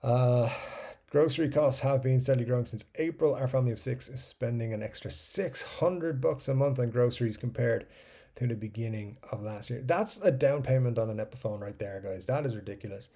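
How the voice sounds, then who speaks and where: A man's voice: medium pitch, a little fast, warm tone. A man with an Irish accent hosting a casual livestream, reading out viewer comments and chatting loosely, swearing now and then and trailing off into mumbles. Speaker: livestream host.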